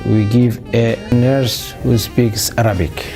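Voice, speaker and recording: A Somali-born man in his fifties answering interview questions in a measured way, pausing often with fillers. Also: mid-range voice, steady tone, creaky, over music. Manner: measured, with frequent uh fillers and restarts. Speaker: interview guest, business owner.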